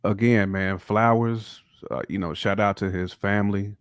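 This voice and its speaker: A man's voice: lowish voice, steady tone, moderate pace, smooth, dry recording, studio quality. A man chatting casually as a podcast co-host, slangy and emphatic, repeating phrases to drive them home. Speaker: hip-hop podcast co-host. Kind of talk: casual chat.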